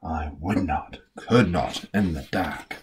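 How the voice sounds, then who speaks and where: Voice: rumbling low, slow pace, a little hiss or hum. A performer voicing a grumpy, stubborn old male grouch with curmudgeonly, emphatic refusals in a picture-book read-aloud. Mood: weary refusal.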